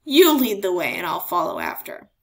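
Big storybook monster, voiced by a woman: fearsome but gullible, emphatic, very animated delivery. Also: fairly low pitch, normal speed, vocal fry, pitched below the performer's own voice, exaggerated voice. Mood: scornful amusement.

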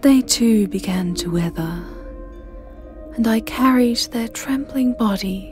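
A woman playing a sinister, jealous living porcelain doll maid in a dramatic ASMR roleplay, speaking in a breathy whisper with a menacing, savoring delivery. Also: a little high. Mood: wistful, sinister. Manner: slow, menacing, theatrical, savoring phrases. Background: music.

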